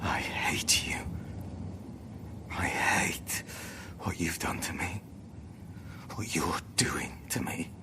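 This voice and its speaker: Intense, anguished woman, very slow. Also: rumbling low. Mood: bitter, whispering. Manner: intense, slow, breathy whispers breaking into cries. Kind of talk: audio drama acting.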